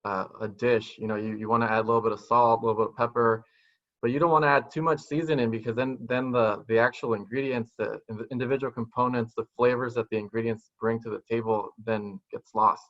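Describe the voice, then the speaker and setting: A man in his thirties, a native Spanish speaker, answering interview questions in a soft-spoken, thoughtful way, speaking from a small room at home over a video call. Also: mid-range voice, steady tone, fast. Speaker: guest poet. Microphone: video call webcam. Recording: dull lo-fi video call audio.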